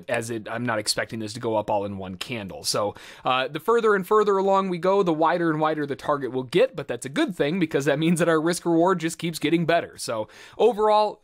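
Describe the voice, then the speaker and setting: A man's voice: a little high, fairly bright tone. A man giving live trading commentary as an instructor, talking casually and thinking aloud as he goes. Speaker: day-trading instructor and host. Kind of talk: live trade commentary and tutorial.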